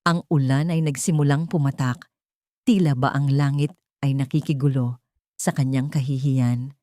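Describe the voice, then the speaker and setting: A woman narrates a dramatic love story in a low register. The sound is dry, with no room on it, and the delivery is that of a scripted audiobook storyteller. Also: steady tone, normal speed, mellow, no echo.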